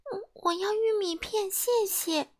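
A small, timid storybook gentleman, played by a woman, speaks in a high, hushed voice. He is meek and polite, hesitating softly over his words. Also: a little slow. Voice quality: soft, hushed.

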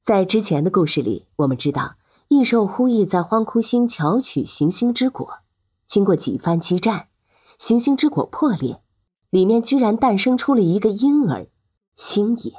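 A woman's voice: mid-range voice, very animated, normal speed, mellow, slightly rough. A woman narrating a serialized fantasy audio drama as its host, in a dramatic scripted storytelling style.

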